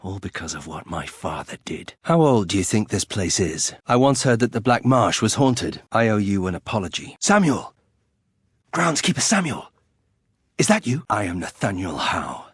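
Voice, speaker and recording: A brooding young nobleman from a fantasy game, speaking in a low, slightly rough voice. He is formal, terse and bitter, with the pride of a fallen house. The recording is dry and close. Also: sing-song, moderate pace, dry recording, exaggerated voice. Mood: urgent, hopeful, then bitter, resentful. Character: brooding, proud young nobleman turned reluctant warrior. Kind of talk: fantasy video game voice acting.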